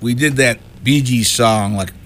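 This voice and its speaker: Older man reminiscing over clear background noise. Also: mid-range voice, measured pace, fairly bright tone. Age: 60s. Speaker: band musician being interviewed. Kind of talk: casual chat, reminiscing.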